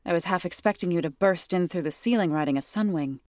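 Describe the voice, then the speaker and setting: Low-pitched, earnest warrior woman, played by an actress. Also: quick pace, a little mellow, dry recording, clean studio sound, natural voice. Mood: earnest, steady. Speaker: video game character. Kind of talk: scripted video game dialogue.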